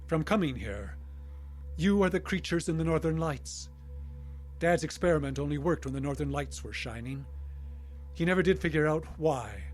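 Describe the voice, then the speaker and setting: A man's voice: fairly high pitch, animated, normal speed, close to an everyday voice. A man acting in a radio drama as a defiant, wary older scientist held captive, guarded and resolute. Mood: defiant, explaining.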